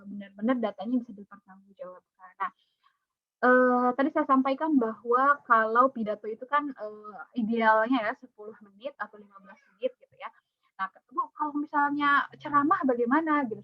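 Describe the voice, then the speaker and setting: A middle-aged woman lecturer with a light Sundanese accent teaches in a casual, rambling way, her slightly high voice often trailing into fillers and restarts. The sound is dull and dry, like low-quality online call audio. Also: brisk, no room sound. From Sundanese region of West Java. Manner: chatty, many fillers and restarts.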